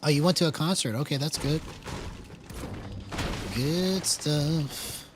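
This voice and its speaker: An American man in his forties from California chatting casually as he streams a game, haltingly thinking aloud and repeating phrases. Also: a little high. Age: 40s. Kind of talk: casual live gameplay chat. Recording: game gunfire noise over the voice. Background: video game gunshots.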